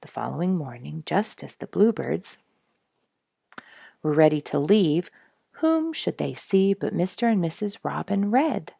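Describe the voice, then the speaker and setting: A woman reading a children's story aloud as scripted narration, in a gentle storytelling manner. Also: on the low side, sing-song, unhurried, creaky, slightly rough, no room sound, clean studio sound. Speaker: storybook reader.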